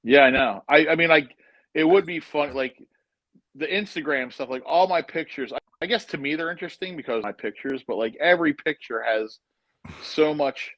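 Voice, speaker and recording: Man in his fifties, fairly high voice, dry close sound, joking rambling storyteller. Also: moderate pace, dry recording, low-quality recording. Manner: joking, rambling storyteller, speeds up when excited. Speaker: guest. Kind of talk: casual chat, storytelling.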